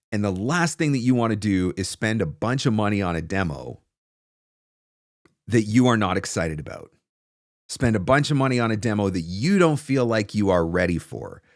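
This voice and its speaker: A man in his forties talks as a coach on a casual livestream. His voice sits low and moves with animated intonation as he repeats phrases for emphasis. It is recorded close and dry on a desk microphone in a small home studio. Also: no echo. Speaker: host and voice-over coach. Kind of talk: casual livestream advice, answering viewer questions. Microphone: close desk microphone on a stand.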